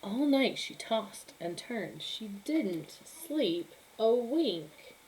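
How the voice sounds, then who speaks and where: A woman's voice: fairly high pitch, big pitch swings, very slow, darkish tone, a little hiss or hum, low-quality recording. Woman reading a children's story expressively.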